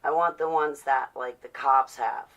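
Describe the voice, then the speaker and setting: A woman's voice: rumbling low, unhurried, a bit bright. A woman giving a casual, rambling vlog monologue, opinionated and ranting, prone to swearing and to acting out imagined quotes. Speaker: vlogger.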